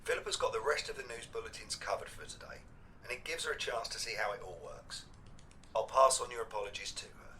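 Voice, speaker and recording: An actor playing a firm but kind male boss in a naturalistic audio drama, measured and reassuring. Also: mid-range voice, bright, forceful tone, slight background noise, lightly acted. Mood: calm, reassuring.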